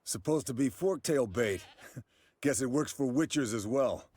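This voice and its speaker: An actor playing a dry, teasing older warrior in a fantasy game. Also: male voice, medium pitch, lively intonation, a little slow, darkish tone, breathy edge. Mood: wry, amused. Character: wry old warrior friend, male, aging.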